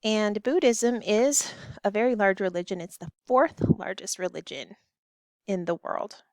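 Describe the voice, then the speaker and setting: A woman's voice: medium pitch, a little slow, no echo, studio quality. A woman teacher giving children step-by-step instructions in a recorded lesson. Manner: addresses children directly with simple step-by-step directions. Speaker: elementary school teacher.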